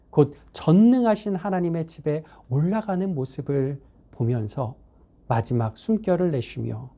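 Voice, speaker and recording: A man's voice: on the high side, warm tone. Reflective man preaching a sermon. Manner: earnest, reflective storytelling.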